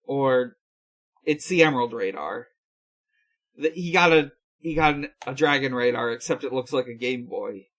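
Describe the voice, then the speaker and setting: A podcast co-host giving a casual recap in a halting, hesitant way. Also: mid-range voice, a bit bright.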